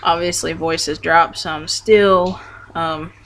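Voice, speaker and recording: A young man giving a casual video update, talking hesitantly with lots of ums and restarts. His voice wobbles between deeper and higher tones. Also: fairly even intonation, slow pace, fairly bright tone, slight background noise. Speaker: vlogger.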